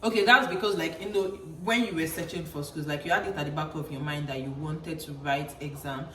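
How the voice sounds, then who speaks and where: A woman's voice: sits low, bright, forceful tone. A young Nigerian woman, a channel host and graduate student, giving chatty advice and using "like" a lot. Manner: fast, chatty, peppered with "like". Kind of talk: casual advice chat. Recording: roomy echo, distant mic, faint background noise.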